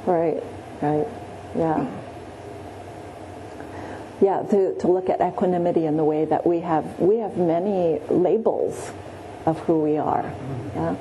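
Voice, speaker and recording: Slow, mellow-voiced woman meditation teacher. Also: lowish voice, fairly even intonation, highs cut off, a little hiss or hum. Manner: gentle, many pauses and restarts, frequent "yeah". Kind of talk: guided Buddhist meditation teaching. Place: meditation room.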